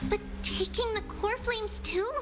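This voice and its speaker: A woman's voice: very high-pitched, steady tone, measured pace, background noise. A woman voicing a tiny, cute, childlike fairy creature in a game, sweet and eager, with cutesy delivery. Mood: concerned. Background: loud background music.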